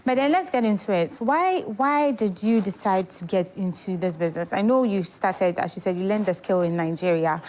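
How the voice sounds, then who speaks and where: A woman's voice: medium pitch, animated, moderate pace, few pauses, a little mellow, slight background noise. A woman hosting a morning TV show, chatty and playful as she asks her guest questions. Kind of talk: TV studio interview.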